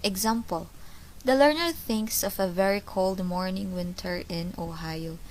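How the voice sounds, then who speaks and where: A woman's voice: medium pitch, measured pace, slight background noise, low-quality recording. A young woman student giving a class report, explaining each point again with simple examples. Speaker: student presenter.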